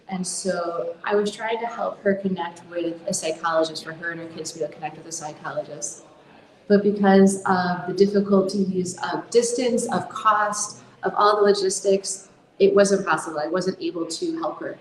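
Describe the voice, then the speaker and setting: A woman's voice: lowish voice, reverberant, rough recording. An American woman, co-founder of a charity, giving an earnest conference talk with frequent fillers and restarts. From United States. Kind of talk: conference presentation. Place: conference room.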